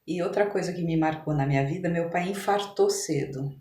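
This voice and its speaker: A woman's voice: fairly low pitch, brisk, mellow. An older woman with a São Paulo Brazilian accent, telling her life story conversationally as an interview guest. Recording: roomy echo, noticeable background ambience.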